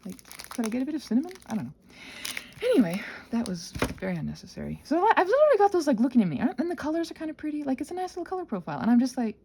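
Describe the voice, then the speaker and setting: Animated, mellow-voiced woman rambling casually. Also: sits high, very fast, creaky, a little hiss or hum. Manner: playful, self-deprecating, tangent-prone; expressive, acting out her own thoughts. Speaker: ASMR and audio content creator.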